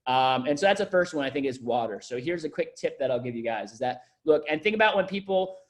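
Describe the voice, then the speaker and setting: A man's voice: medium pitch, expressive, fast. A young man giving casual wellness tips to a group, rambling with many fillers and bursts of emphasis. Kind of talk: casual motivational talk.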